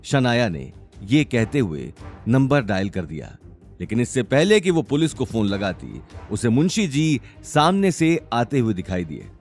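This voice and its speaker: A man's voice: mid-range voice, huge pitch swings, measured pace, a little mellow, faint music behind. A man narrating a scripted audio story in a dramatic style.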